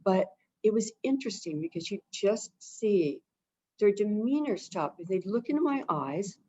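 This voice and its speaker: A woman who is an avian behavior expert, explaining things calmly and gently as a webinar guest and repeating phrases for emphasis. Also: mid-range voice, unhurried, slightly rough. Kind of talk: casual explanatory talk. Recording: dull lo-fi webinar audio.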